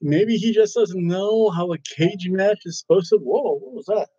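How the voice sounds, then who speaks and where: A man hosting a casual wrestling review, rambling and excitable, often restarting his sentences. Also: sits high, moderate pace, breathy edge. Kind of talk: casual chat. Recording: dull lo-fi recording.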